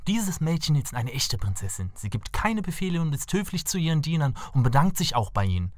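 A young fairy tale prince for a cartoon. He is earnest and courteous, with formal speech and very animated intonation. The recording is dry. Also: a little high, a little fast, dry recording.

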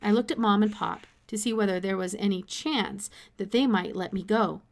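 A woman's voice: mid-range voice, normal speed, vocal fry. A middle-aged woman reading a children's novel aloud expressively, like a teacher.

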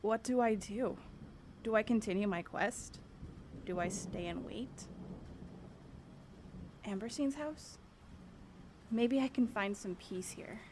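A woman's voice: medium pitch, slow, pauses often, lightly acted. A woman playing a determined young woman seeking the truth in ancient Greece, speaking boldly and earnestly in an audio drama. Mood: uncertain. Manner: bold, earnest, declamatory. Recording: faint background noise.

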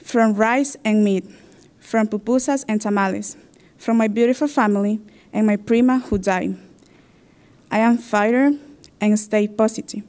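A woman's voice: mid-range voice, steady tone, measured pace, a little mellow. A teenage girl from El Salvador reciting a poem into a podium microphone. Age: teens. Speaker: student poet. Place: school stage at a podium. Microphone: gooseneck podium microphone.

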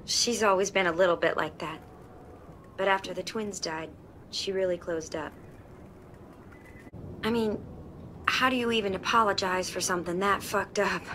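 A woman's voice: on the low side, a little slow, pauses often, fairly bright tone, a little hiss or hum. A woman playing an anxious young survivor in a game drama, speaking hesitantly and apologetically. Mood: regretful, troubled. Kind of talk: naturalistic video game drama acting.

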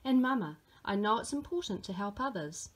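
A woman's voice: mid-range voice, animated, fairly quick, natural voice. A woman voicing a small, earnest, imaginative girl who tells tall tales in a dramatic storybook manner.

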